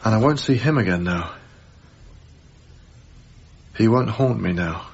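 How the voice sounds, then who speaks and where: Troubled young lodger, radio drama. Unhurried, fry-edged, halting, brooding; music under a dulled broadcast. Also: male voice, fairly low pitch, animated, dull audio, full character voice. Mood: brooding, wistful. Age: young adult. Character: troubled young male lodger, tender and haunted. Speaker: actor. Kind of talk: radio drama acting, intimate monologue.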